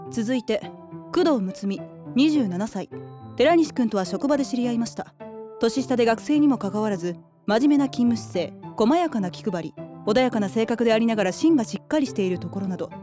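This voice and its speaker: An actress playing a formal, businesslike woman in her late twenties who speaks in cool, polite, measured sentences. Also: fairly high pitch, mellow, natural voice. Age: 20s. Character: prim, formal 27-year-old woman rival. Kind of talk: radio drama acting.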